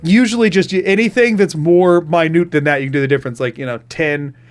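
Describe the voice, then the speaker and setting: Southern male streamer, slightly high voice, loose and chatty, stops and restarts a lot. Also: quick pace, soft background music. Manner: rambling, self-interrupting, animated retelling. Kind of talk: casual chat while gaming.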